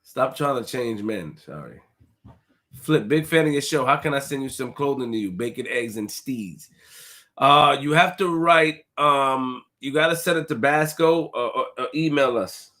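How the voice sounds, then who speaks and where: A man hosting a casual livestream, shouting out viewers, reading donations and repeating phrases to his chat. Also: mid-range voice, animated, moderate pace. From Haitian family roots. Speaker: livestream host.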